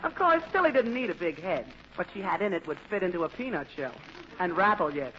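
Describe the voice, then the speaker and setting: A woman's voice: on the low side, very animated, a little fast, a bit bright. A woman giving scripted, wry and playful comic narration for a vintage radio show. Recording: muffled, noisy old radio recording.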